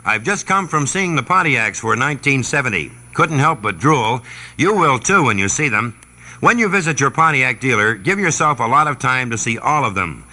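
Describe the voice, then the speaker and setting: Very sharp, bright 1960s radio pitchman. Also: lively intonation, normal speed. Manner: conversational sales pitch. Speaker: commercial announcer. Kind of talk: 1960s radio car advertisement.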